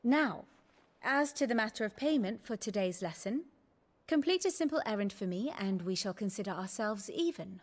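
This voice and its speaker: A woman playing a worldly scholar in a fantasy game, speaking in formal, eloquent, deliberate phrases. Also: medium pitch, expressive. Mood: wry, businesslike. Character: worldly woman scholar, learned, measured, faintly wry tutor of politics. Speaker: lore tutor character. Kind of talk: cinematic fantasy game acting, expository narration. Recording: loud orchestral music behind.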